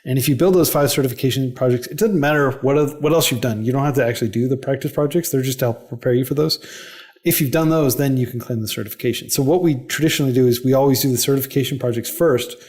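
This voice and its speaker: A man of ordinary middle pitch, speaking as a podcast guest in a loose, conversational way. He explains things in long runs, stringing thoughts together with frequent "like" and "you know". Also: quick pace, darkish tone. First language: English. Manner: chatty, explanatory, lots of "like" and "you know" fillers. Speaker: interview guest, head of a coding non-profit. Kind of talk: casual podcast interview.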